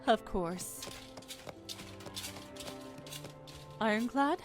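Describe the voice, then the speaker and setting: A woman playing a gentle, regal young princess in an audio drama, soft-spoken, polite and a little hesitant. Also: on the high side, lively intonation, measured pace, over music. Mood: composed.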